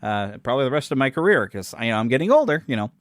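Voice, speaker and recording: Podcast host, man in his forties, mid-pitched voice, dry close sound, rambling and self-mocking. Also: big pitch swings, rapid-fire, dry recording. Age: 40s. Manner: rambling and chatty, loses his thread and corrects himself; self-deprecating and joking. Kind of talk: casual solo podcast chat.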